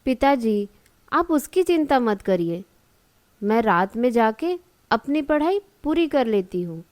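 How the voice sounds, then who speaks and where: A woman voicing a dutiful, gentle college-age daughter in a cartoon story, speaking respectfully and reassuringly. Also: on the high side, very animated, a little slow, no echo. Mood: earnest, reassuring. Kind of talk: Hindi cartoon story dialogue.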